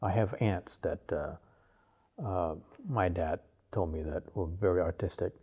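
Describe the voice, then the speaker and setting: A man in his fifties from Malaysia talks in a low, gravelly voice with a warm, mellow tone. He speaks slowly and loosely, with many pauses and fillers, as a guest in a relaxed interview. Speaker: artist guest.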